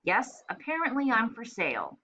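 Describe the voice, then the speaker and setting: Woman reading as a sarcastic, bright-voiced storybook parrot. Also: on the high side, moderate pace, a little hiss or hum, heavily acted. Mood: deadpan, weary. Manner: deadpan, exasperated, dramatic complaining. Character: grumpy, sarcastic, indignant pet parrot keeping a diary. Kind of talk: children's storybook read-aloud in diary form.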